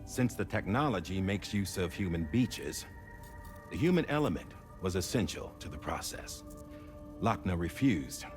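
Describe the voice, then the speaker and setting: An actor playing a calm, commanding former director in a video game cutscene, speaking in a measured, theatrical way. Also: male voice, low-pitched, over music. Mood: grave, explaining. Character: calm, commanding male ex-director revealing a secret plan.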